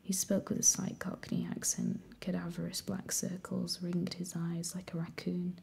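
Low gravelly whispering woman. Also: normal speed. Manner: soft steady whisper. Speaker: audiobook reader. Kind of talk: whispered ASMR story reading.

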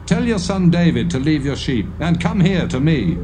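A man's voice: on the high side, big pitch swings, normal speed, music in the background. An actor playing a male king of ancient Israel giving orders in a dramatised audio Bible. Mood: ordering.

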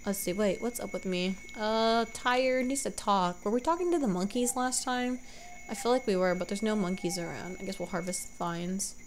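A woman's voice: mid-range voice, moderate pace, a little hiss or hum. A young woman commentating a video game casually, congested from allergies, rambling and correcting herself often. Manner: rambling, self-correcting, unsure. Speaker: let's play gamer.

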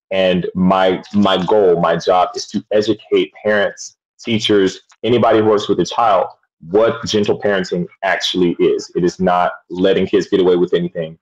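Low-voiced man on a dull video call. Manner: articulate, measured. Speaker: guest teacher. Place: home room. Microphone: webcam on a video call.

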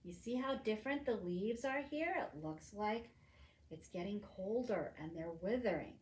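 A middle-aged woman reads a picture book aloud to preschoolers in an expressive, teacherly manner, adding simple asides. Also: medium pitch, moderate pace. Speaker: librarian storytime reader. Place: library room among bookshelves, indoors. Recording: roomy indoor echo.

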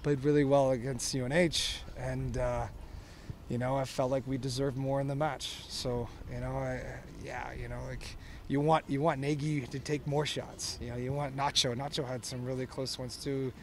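A man in his thirties, a soccer coach, answering postgame questions outdoors at night with earnest, halting talk. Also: medium pitch, level tone, a little hiss or hum. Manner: earnest, halting, many fillers and restarts. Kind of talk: postgame interview. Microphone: handheld microphones held close.

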